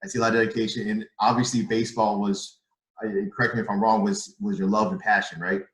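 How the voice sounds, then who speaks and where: A man's voice: lowish voice, level tone, fast. Casual young male host in a roomy space. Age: young adult. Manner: casual, rambling, hesitant questions. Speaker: interviewer host. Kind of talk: online interview.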